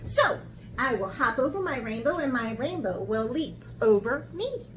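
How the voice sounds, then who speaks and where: Lady with a highish voice doing a kids art class and storytime, really expressive and encouraging, noticeable noise behind her. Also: normal speed. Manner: expressive, encouraging talk aimed at young children, with dramatic gasps. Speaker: art camp teacher. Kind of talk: children's art lesson and picture book read-aloud.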